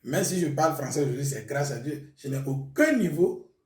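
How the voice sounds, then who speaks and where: A man's voice: fairly high pitch, lively intonation, moderate pace, roomy sound, far from the mic. A man from Burkina Faso whose first language is Mooré, a pastor giving his testimony in a hesitant way with many fillers. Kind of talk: testimony interview.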